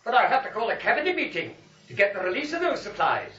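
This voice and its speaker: An exuberant, deluded man barking military orders in a radio farce. His voice is extremely high and booming, in an echoey vintage recording. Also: wildly swooping pitch, quick pace, bright, forceful tone, dull audio, full character voice. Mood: commanding. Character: deluded, exuberant man playing a commanding army colonel. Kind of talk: 1940s radio acting.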